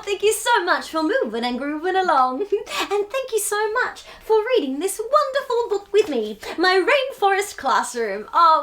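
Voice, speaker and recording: A woman with a very high voice presents a children's storytime show. She is bubbly and exclamatory, gushing with encouragement as if speaking straight to small children. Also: fairly bright tone.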